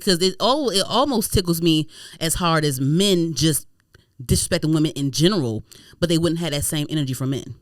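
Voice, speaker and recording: A woman hosting a podcast in a casual gossip chat, animated, slangy and profane, often repeating herself for emphasis. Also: low-pitched, fairly quick, no echo, clean studio sound. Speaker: podcast host.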